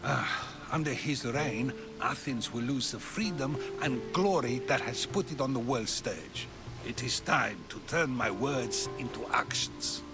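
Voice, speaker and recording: A man's voice: medium pitch, measured pace, close to an everyday voice. A man playing an eloquent, probing ancient Greek philosopher in video game dialogue, theatrical and full of rhetorical questions. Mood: grave. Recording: music under the voice.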